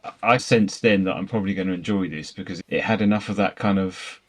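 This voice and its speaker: A man's voice: low-pitched, fast. A man talking casually as a panelist on a film review podcast.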